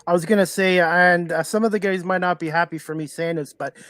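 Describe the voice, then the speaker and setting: A man's voice: sits high, fairly even intonation, fairly quick, a bit bright, no echo. A man, hosting a casual sports chat podcast. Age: 40s. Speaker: podcast host.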